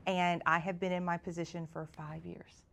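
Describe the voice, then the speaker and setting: Woman, lowish voice, chatty. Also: little pitch movement, moderate pace, vocal fry, a bit bright, slight background noise. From South Georgia, US. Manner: chatty, storytelling, self-deprecating. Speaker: chamber of commerce president, guest. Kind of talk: casual podcast conversation.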